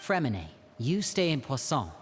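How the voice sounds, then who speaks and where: A voice actor playing a clever young stage magician who takes charge and lays out plans. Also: female voice, very deep voice, big pitch swings, faint music behind. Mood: commanding. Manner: quick-thinking, persuasive, gives orders. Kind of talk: game cutscene voice acting.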